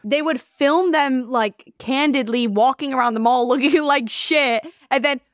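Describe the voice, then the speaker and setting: A woman's voice: sits high, unhurried, no room sound, studio quality. A young American woman chatting casually on a comedy podcast, blunt and dramatic in her storytelling, with plenty of swearing. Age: young adult. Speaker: podcast co-host. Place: small room indoors. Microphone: close podcast mic.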